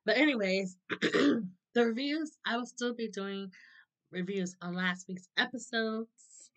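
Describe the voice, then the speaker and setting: A woman in her thirties with a hoarse, sore throat, chatting casually to a webcam, laughing often and clearing her throat. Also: fairly high pitch, takes it slowly, low-quality recording. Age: 30s. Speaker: vlogger. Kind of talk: casual chat to camera. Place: small room indoors.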